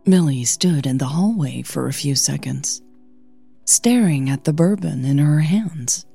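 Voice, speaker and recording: A woman's voice: low-pitched, measured pace, vocal fry, warm tone, husky, soft background music. A woman narrating scripted audio fiction as the storyteller.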